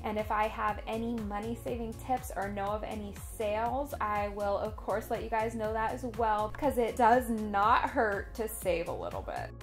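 A woman's voice: medium pitch, animated, normal speed, hardly any pauses, music in the background. A woman in her thirties chatting in a cheerful, friendly way while narrating a home vlog about party prep. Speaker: homemaking vlogger. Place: living room at home.

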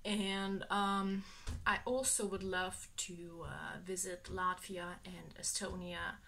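Lithuanian-born woman in her 20s, native Lithuanian speaker, bright tone, chatty bedroom vlog to a desk camera. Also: fairly low pitch, steady tone, takes it slowly, creaky, slight background noise. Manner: chatty, enthusiastic, many fillers. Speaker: vlogger, exchange student. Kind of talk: casual talk to camera. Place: bedroom, indoors. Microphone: camera on desk at close range.

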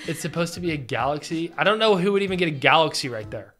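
A young man co-hosting a casual reaction chat, joking and excitable, recorded at a desk mic in a small home studio. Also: a little high, quick pace. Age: young adult. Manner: joking, excitable, mock voices. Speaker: co-host.

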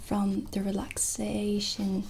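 A middle-aged woman hosting an ASMR roleplay, explaining softly and soothingly in a gentle whisper, close to the microphone. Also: medium pitch, monotone, takes it slowly, vocal fry, slight background noise, low-quality recording. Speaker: ASMR host presenting a sound healing roleplay. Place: small dim indoor set.

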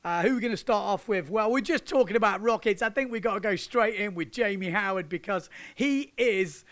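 A man's voice: sits high, brisk, fairly bright tone. A man, chatting casually as a windsurfing vlog host, laughing and using slang. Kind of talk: casual chat with commentary over surf footage. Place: indoors, sitting on a sofa. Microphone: handheld microphone.

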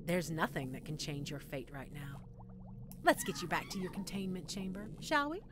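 A woman's voice: lowish voice, wildly swooping pitch, moderate pace, background noise. A woman playing a sadistic, gleeful mad scientist in an audio roleplay, teasing her captive listener with sweet condescension. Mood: mock-sweet, menacing.

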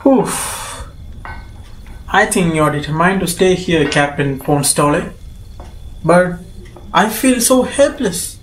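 A man's voice: sits high, animated, slow pace, pauses often, slight background noise. A man acting a hearty middle-aged ironmaster in a student radio drama, earnest and coaxing. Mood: urging, concerned. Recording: echoey room.

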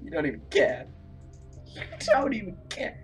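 A man's voice: a little high, wildly swooping pitch, normal speed, creaky, soft background music. A young man giving dramatic, complaining, exclaiming commentary while playing a horror game. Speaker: video game player and host. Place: indoor room at a computer, on webcam.

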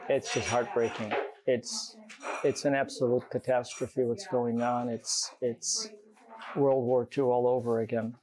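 An older man in an interview, speaking haltingly and heartfelt, on a lapel mic in a shop. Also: medium pitch, flat delivery, background noise. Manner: hesitant, heartfelt, with fillers. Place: candle shop, indoors.

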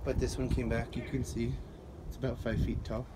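A man's voice: mid-range voice, little pitch movement, fairly quick. A man giving a casual, unscripted garden-tour narration as he walks outdoors, recorded on a handheld phone. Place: outdoors in a residential front yard, walking. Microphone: handheld phone held by the speaker. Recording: noisy outdoor phone recording, dogs around.

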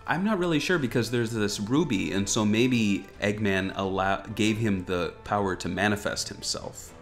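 A man's voice: fairly low pitch, moderate pace. A man hosting a gaming news show, giving casual, wryly joking commentary on a video game trailer. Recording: music behind the voice. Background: background music.

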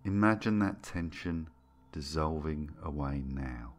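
A man with a very low voice leads a guided meditation. He speaks soothingly and gently, taking his time and leaving space between phrases. Music plays underneath his voice. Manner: soothing, gentle, unhurried with long pauses. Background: background music.

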